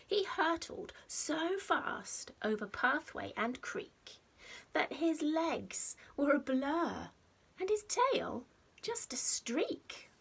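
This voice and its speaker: A woman reading a picture book aloud to young children like a teacher, expressively, with dramatic pauses and stressed rhymes and sound words. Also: high voice, big pitch swings, vocal fry, a bit bright. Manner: simple explanatory asides addressed to young children. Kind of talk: animated storybook read-aloud.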